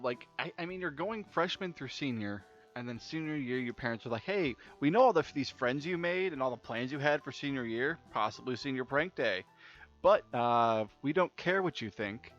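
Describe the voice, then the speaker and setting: A man's voice: medium pitch, fairly bright tone. A young man doing casual let's play commentary and reading game text aloud, informal and stumbling over repeated words. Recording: dull lo-fi mic with music behind. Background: game music.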